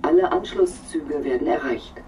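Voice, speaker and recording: Actress as formal train announcer, very deep female voice, dark tone, distant with faint noise. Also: normal speed, faint music behind, close to an everyday voice. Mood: formal, polite.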